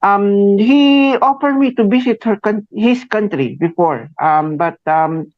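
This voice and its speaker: A Filipino man in his forties hosting a radio interview, speaking haltingly with many fillers and a tagged "no?". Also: high voice, no room sound. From Philippines. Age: 40s. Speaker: radio host. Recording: muffled dry radio studio sound.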